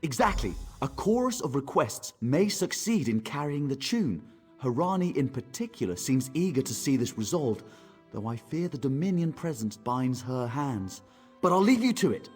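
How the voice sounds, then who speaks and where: A man's voice: mid-range voice, sing-song, moderate pace, a little mellow, slightly rough, soft background music. An actor playing a courteous, tactful male elf diplomat in a video game, speaking in a polite, formal, ornate way. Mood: courteous, careful. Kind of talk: video game character dialogue.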